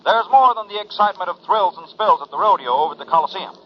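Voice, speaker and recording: An actor playing an urgent newscaster of the 1940s, delivering a dramatic bulletin through a radio set. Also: male voice, sits high, animated, rapid-fire. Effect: heard through a radio set. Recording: dull vintage radio recording.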